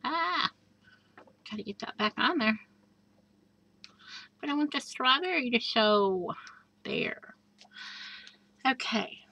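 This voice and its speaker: A woman casually showing a handmade journal page by page, chatty and gushing, with soft asides and plenty of fillers. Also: fairly high pitch, animated, slow, creaky, long pauses. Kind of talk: casual show-and-tell craft walkthrough. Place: indoors at a craft table. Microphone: overhead camera above a craft table.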